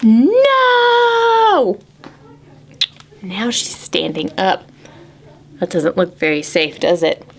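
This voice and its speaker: A woman hosting a children's storytime, reading aloud in an animated, exaggerated way and putting questions to young listeners. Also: sits low, measured pace, vocal fry, a little hiss or hum. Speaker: children's librarian, storytime host. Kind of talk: children's picture-book read-aloud and singalong.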